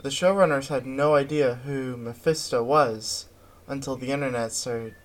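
A man co-hosting a podcast, chatting casually about pop culture in a halting way with drawn-out words. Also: mid-range voice. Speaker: podcast co-host.

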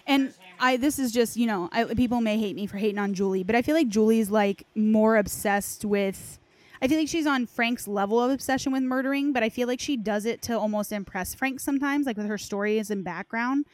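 A woman's voice: a little high, brisk, a little mellow, no room sound. Casual woman chatting, close dry sound. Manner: hesitant phrasing with frequent "like". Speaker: podcast speaker. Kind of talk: casual conversation about game lore.

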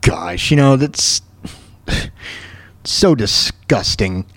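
A man hosting a podcast, ranting in a halting, repetitive, exasperated way with casual, opinionated talk. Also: medium pitch, animated, a bit bright, no room sound. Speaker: podcast host.

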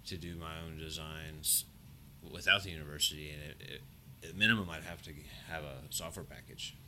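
A middle-aged man with a very deep, mumbling voice and a sharp, bright edge. He talks in halting, restarting phrases, chatting as a guest in a lab office, with faint room noise behind him. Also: fairly even intonation. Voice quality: low, mumbling voice. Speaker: engineering professor, guest. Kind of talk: casual conversation. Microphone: shared podcast mic.

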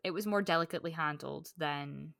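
A woman with a fairly low voice talks informally, as a podcast co-host in a book chat. She is candid and conversational, and the sound is dry, with no room around it. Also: brisk, creaky, a bit bright, dry recording. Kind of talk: casual book discussion chat.

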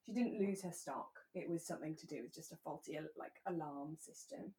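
A woman co-hosting a podcast in relaxed, casual chat, talking in a candid, conversational way. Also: low-pitched, fairly quick, low-quality recording. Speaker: podcast co-host. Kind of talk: relaxed casual chat about a radio drama.

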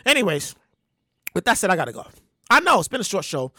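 A podcast host, talking casually and comically in an animated, slangy way with lots of repeated words. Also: mid-range voice, fast talker, dry recording, studio quality. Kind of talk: casual comedic monologue.

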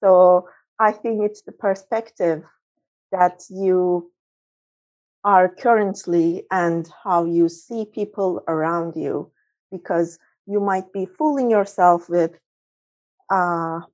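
A woman's voice: lowish voice, a little mellow. A woman from Turkey, talking reflectively in a casual group discussion over a video call. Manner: reflective, searching for words. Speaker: discussion participant. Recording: dull lo-fi video call audio.

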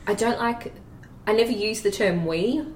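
A woman's voice: medium pitch, moderate pace, slight background noise. Chatty woman, distant and roomy. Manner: chatty, self-deprecating, laughs easily. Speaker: podcast guest, social media marketer. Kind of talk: casual podcast interview. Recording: roomy sound, distant from the mic.

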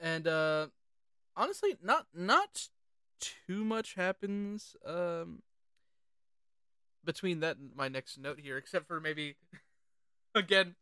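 A podcast co-host talking casually and informally about films, animated and sweary. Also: medium pitch, takes it slowly, long pauses, smooth, dry recording, studio quality. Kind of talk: casual film discussion.

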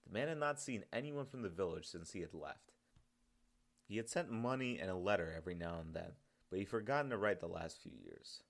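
A man's voice: deep voice, lively intonation, a little fast, vocal fry. A man reading his own story as scripted audiobook narration.

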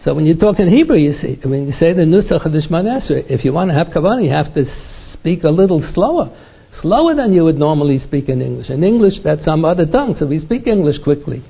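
A man's voice: a little high, sing-song, fast talker. An older American man, a rabbi giving a Torah lecture to a congregation, repeating words and asking rhetorical questions, peppered with Yiddish and Hebrew terms. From United States. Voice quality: gravelly, husky. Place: synagogue lecture. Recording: dull lo-fi recording.

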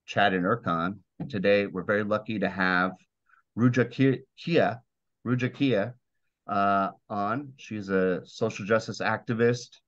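A man's voice: lowish voice, unhurried, a bit bright, lo-fi sound. A man hosting a podcast, introducing a guest and asking questions with a few stumbles. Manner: friendly, slightly stumbling questions. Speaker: podcast host.

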